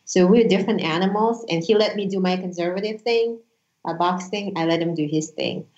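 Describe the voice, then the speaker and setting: A woman in her forties, a Russian-speaking immigrant from Uzbekistan, sharing her story as a guest in an earnest, animated way. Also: fairly low pitch, brisk, echoey room, lo-fi sound. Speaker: guest, former attorney. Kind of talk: casual interview chat.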